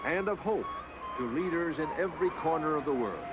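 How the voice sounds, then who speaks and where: A man reading scripted documentary narration in a measured, formal announcer manner. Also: a little high, expressive. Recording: narration over clear background music.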